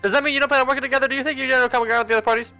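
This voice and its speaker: A man puts on a pushy woman journalist from a political game. The voice is high, bright and extremely fast, insistently pressing with questions over faint music. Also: steady tone, exaggerated voice. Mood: pushy, urgent.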